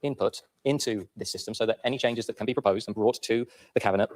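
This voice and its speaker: A man speaking formally in a council chamber, halting with uhs. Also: on the low side.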